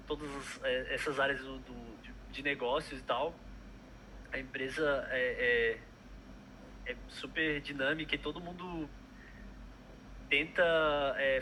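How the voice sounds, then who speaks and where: A man's voice: mid-range voice, pushed and bright, a little hiss or hum, low-quality recording. A young man, a product manager chatting casually in an interview, hesitant with many fillers and restarts.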